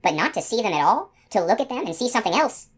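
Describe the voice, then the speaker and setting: Woman doing a proud ancient unicorn, higher than her narration, measured and dignified. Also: sing-song, husky. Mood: wondering. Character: proud ancient female unicorn, wondering and regal. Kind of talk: storybook reading.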